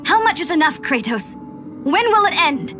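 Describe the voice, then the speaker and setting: An actress playing a bold, reproachful Spartan wife, impassioned and confrontational, in a video game. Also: female voice, sits high, sing-song, moderate pace, over music. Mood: exasperated. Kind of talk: dramatic video game acting.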